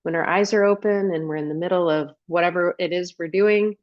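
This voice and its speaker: Woman, guided meditation, low voice, close dry sound, gentle invitations, pauses between phrases. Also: steady tone, dry recording, muffled recording. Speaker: meditation guide, wellness coach.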